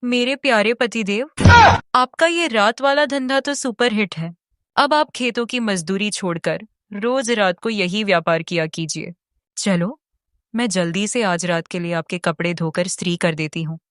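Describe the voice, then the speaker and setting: A woman's voice: mid-range voice, normal speed, fairly bright tone, no room sound, studio quality, lightly acted. A woman voicing a money-loving village wife in a cartoon story, with a gushing, coaxing manner. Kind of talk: Hindi cartoon moral story dialogue.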